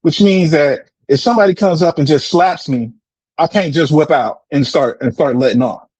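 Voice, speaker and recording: An American man in a casual debate, explaining in an animated way, repeating words and often checking with "right?". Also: fairly high pitch, moderate pace, husky, dry recording. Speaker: discussion participant answering questions.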